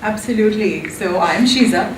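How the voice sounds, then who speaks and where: A woman's voice: mid-range voice, normal speed. A woman in her forties from Pakistan, with a Pakistani accent, chatting as an interview guest, laughing often with many ums. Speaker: guest, marriage and family therapist. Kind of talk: conversational interview. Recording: roomy, from a distance, background noise.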